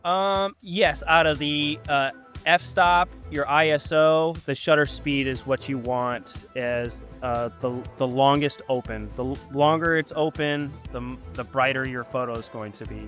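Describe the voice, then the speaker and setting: Man, medium pitch, casual tutor, hesitant with fillers, outdoors at dusk, faint music. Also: fairly even intonation, measured pace, a bit bright. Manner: patient, hesitant, many ums and uhs. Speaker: photographer teaching a guest. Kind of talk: casual how-to explanation.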